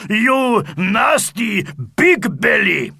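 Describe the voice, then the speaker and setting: A man voicing a big, scruffy, proud male Viking warrior who speaks slowly and loudly in broken phrases. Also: high voice, very animated, creaky, slightly rough, no room sound, clean studio sound, pitched above the performer's own voice, exaggerated voice. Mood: indignant. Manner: loud slow broken speech.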